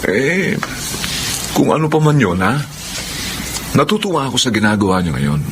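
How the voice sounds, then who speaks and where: A man with a Filipino accent playing an amiable, long-suffering husband, chatty and expressive, in a radio drama. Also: medium pitch, normal speed, background noise. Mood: relieved.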